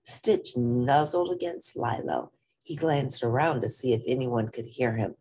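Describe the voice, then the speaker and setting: A woman reading a children's story aloud in an animated, dramatic, expressive narrating style. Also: extremely low pitch, unhurried, rough recording.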